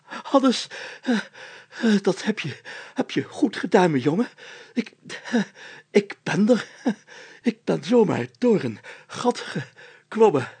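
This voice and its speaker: A man's voice: high-pitched, sing-song, slow pace, pauses often, a little mellow, breathy edge, heavily acted. A man voicing a pompous, self-satisfied, flustered mayor in a story, stammering and speaking in grand, bossy phrases. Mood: flustered, excited. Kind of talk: dramatised story reading.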